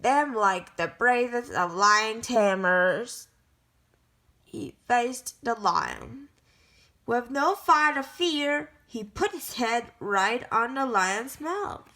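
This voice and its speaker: Slow, bright-voiced woman reading a storybook. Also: on the high side, animated. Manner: careful, halting reading with frequent self-corrections. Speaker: storybook reader. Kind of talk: reading a picture book aloud.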